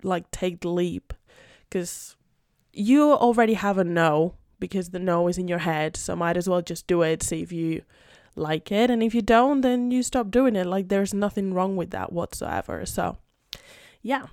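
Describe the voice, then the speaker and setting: A woman's voice: on the low side, animated, normal speed, a little mellow, smooth, dry recording, studio quality. A young woman from Spain, a native Spanish speaker, chatting casually and a bit rambling on her podcast, full of fillers. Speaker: podcast host and English teacher. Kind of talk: casual solo podcast chat.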